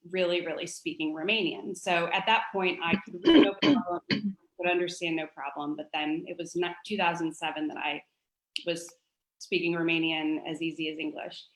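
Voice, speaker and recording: A woman's voice: fairly low pitch, a bit bright. A woman around forty with an American accent, an author talking casually and expressively about her own life. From North Carolina, United States. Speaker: author and poet, guest. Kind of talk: casual personal storytelling at a book launch. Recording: roomy, echoey livestream audio.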